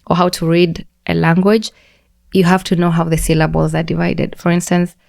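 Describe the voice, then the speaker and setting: A Kenyan-accented woman with a somewhat low voice talks through her explanation in an easy, conversational way. She spells out examples slowly and peppers her sentences with "like". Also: flat delivery, gravelly, a little mellow. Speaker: podcast guest. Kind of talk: casual podcast conversation.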